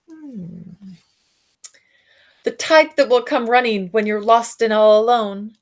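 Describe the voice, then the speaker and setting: A woman reading a picture book aloud to children, expressive, with soft musing questions for young listeners. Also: on the high side, vocal fry. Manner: expressive reading, alternating with softer musing asides and hums. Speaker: read-aloud storyteller for children.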